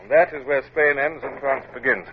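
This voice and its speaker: Guy playing a commanding old-time navy captain, formal clipped orders, medium pitch, tinny old radio play. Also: moderate pace, close to an everyday voice. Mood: brisk command. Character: commanding, stern British naval captain of sailing-ship era. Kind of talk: old radio adventure drama acting and narration. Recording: tinny, noisy vintage radio recording.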